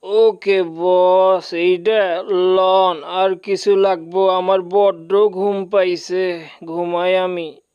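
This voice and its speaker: A drowsy cartoon cat with a high, dragging drawl. He sounds servile yet sly, and the recording is dull. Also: level tone, extremely slow, smooth.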